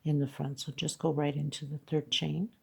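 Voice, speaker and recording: A woman's voice: deep voice, level tone, normal speed, creaky, a little mellow, breathy edge. A woman teaching crochet step by step, counting stitches patiently, her voice congested. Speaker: crochet instructor. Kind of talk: step-by-step craft tutorial.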